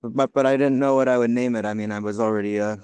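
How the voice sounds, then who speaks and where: A young American man from the United States, answering interview questions casually with many pauses, fillers and restarts. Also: medium pitch, steady tone, darkish tone, clear, resonant tone, no echo. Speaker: guest, laundromat owner.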